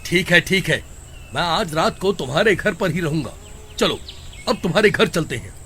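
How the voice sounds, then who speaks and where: A man's voice: high voice, expressive, normal speed, sharp tone, slight background noise. A man playing a helpful, placating neighbour in a dramatised comic horror story. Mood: reassuring.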